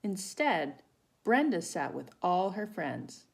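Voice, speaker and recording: Gravelly-voiced woman reading a children's storybook. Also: on the low side, big pitch swings, slow pace. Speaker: volunteer storybook reader for children. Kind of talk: read-aloud children's story with asides to listeners.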